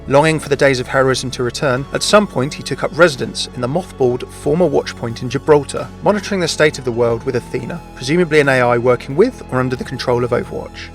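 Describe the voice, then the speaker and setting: A man hosting a gaming video, reading scripted explainer narration. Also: medium pitch.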